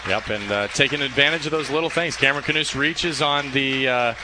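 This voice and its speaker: A man doing radio play-by-play for a baseball game, calling pitches in a steady flow that lifts when a play unfolds. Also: fairly quick, crowd noise, noisy background. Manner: steady calls, rising excitement on plays. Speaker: play-by-play announcer.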